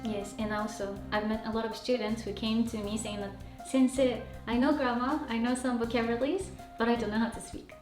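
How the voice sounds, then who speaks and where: A woman's voice: fairly high pitch, brisk, few pauses, soft background music. Japanese lady teacher chatting in english, laughs a lot, roomy sound. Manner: lively, laughs easily. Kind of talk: casual conversational chat.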